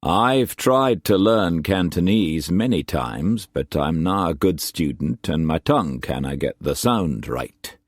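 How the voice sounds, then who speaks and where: Man as a calm, steely trader. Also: low-pitched, dry recording, studio quality. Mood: measured. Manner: calm, measured, cold.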